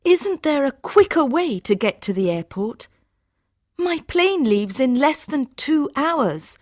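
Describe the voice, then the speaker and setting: Desperate young female traveller for audiobook dialogue: anxious, pleading, very expressive, unhurried. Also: sits high, dry recording, studio quality, exaggerated voice.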